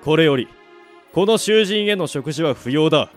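A man's voice: fairly high pitch, expressive, normal speed, faint music behind, natural voice. A man acting a stern, haughty commanding officer in an amateur radio drama, with a formal, imperious manner. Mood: stern, cold.

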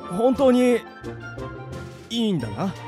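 An actor playing a flustered young office worker in a radio drama, blunt and casual, muttering and exclaiming. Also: male voice, very high voice, sing-song, slow pace, music in the background, full character voice. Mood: hesitant, hopeful. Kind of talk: Japanese radio drama acting.

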